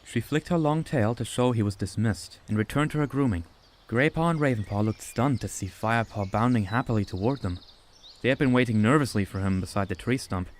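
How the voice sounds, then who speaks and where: A man's voice: mid-range voice, brisk. A man reading scripted story narration as an audiobook narrator.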